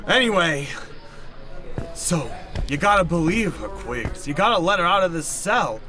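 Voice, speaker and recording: Man acting a bright-voiced blustering old professor. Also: on the high side, animated, unhurried, faint music behind. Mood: indignant, explaining. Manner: theatrical, pompous, scolding. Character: blustering, eccentric older male professor, scheming and insulting. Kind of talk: improvised old-time radio comedy acting.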